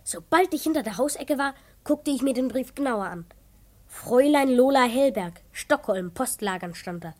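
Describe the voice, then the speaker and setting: A woman's voice: sits high, expressive, normal speed. A woman playing an eager, self-important twelve-year-old boy detective in a children's radio play, earnest and easily indignant. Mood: secretive narrating. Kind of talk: children's radio play acting and first-person narration.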